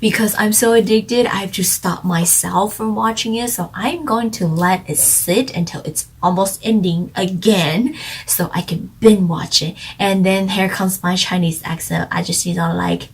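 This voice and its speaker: A woman's voice: mid-range voice, measured pace, husky. A woman chatting casually as she reviews a drama, rambling and speculating with lots of fillers. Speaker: drama reviewer, channel co-host.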